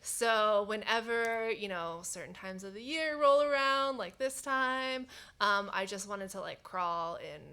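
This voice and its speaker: A woman's voice: mid-range voice, lively intonation, measured pace, bright, forceful tone, clear, resonant tone. A young woman speaking reflectively on a panel, with fillers. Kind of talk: casual panel discussion.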